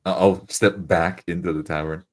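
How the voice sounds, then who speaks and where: Very deep-voiced man playing roleplay. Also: steady tone. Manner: halting, tentative. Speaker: tabletop roleplay player. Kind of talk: improvised narration of own character's actions.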